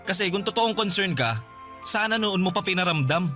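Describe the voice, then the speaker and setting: A man's voice: high voice, animated, moderate pace, sharp tone, soft background music. A man playing a resentful, defensive younger brother in a Filipino radio drama, sarcastic and wounded. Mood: hurt, bitter.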